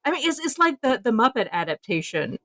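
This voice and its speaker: A woman's voice: fairly high pitch, big pitch swings, a little fast, fairly bright tone, dry recording, clean studio sound. A woman co-hosting a podcast in a casual, blunt, joking way with free swearing. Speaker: podcast co-host. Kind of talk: casual chat.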